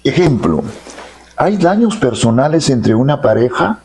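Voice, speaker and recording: A chatty man from Peru hosts a relaxed interview. He jokes around and fills the pauses with quick uh-huhs while his guest answers. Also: mid-range voice. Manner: chatty, playful, lots of backchannel uh-huhs. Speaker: interviewer, digital creator. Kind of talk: casual interview hosting.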